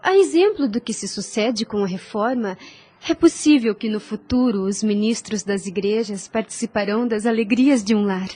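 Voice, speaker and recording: Soft-toned, husky, devout young woman acted out. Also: mid-range voice, moderate pace, natural voice. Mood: earnest, gentle. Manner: lofty, formal, earnest delivery. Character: devout young woman, tender, self-denying, resolute. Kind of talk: solemn audio drama acting of a religious novel.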